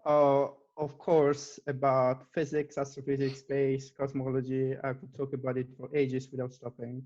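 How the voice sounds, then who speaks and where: A man's voice: medium pitch, completely flat delivery, moderate pace, dark tone, dull audio. A man from Italy, a native Italian speaker with an Italian accent, answering questions as an interview guest in a conversational style. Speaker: interview guest, data scientist.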